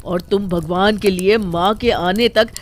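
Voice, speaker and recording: A woman voicing an exasperated young husband who complains loudly in an animated story. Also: mid-range voice, unhurried, close to an everyday voice. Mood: irritated. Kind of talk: animated moral story dialogue.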